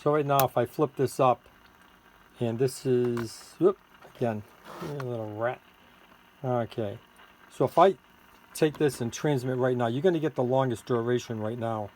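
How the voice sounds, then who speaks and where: A man giving a casual hands-on product demonstration as a seller, halting and thinking aloud while he works the controls. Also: medium pitch, a little mellow. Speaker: seller demonstrating a homemade electronics gadget. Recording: clearly noisy, with electronic tweets and siren-like tones.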